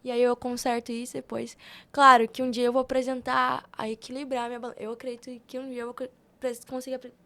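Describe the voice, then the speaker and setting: A woman's voice: fairly high pitch, little pitch movement, quick pace, bright, forceful tone. A young woman with a Brazilian Portuguese accent, speaking casually and emphatically as a podcast guest, with slangy repetition. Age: young adult. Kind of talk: casual, chatty interview answers.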